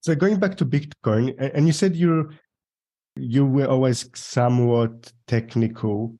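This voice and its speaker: A man hosting a podcast, asking casual interview questions in a relaxed, conversational way with some hesitant restarts. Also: mid-range voice, unhurried. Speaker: podcast host.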